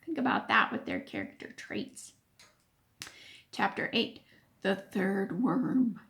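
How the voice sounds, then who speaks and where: A woman reading a children's chapter book aloud in an expressive, animated way, like a teacher. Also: medium pitch, slow pace, creaky.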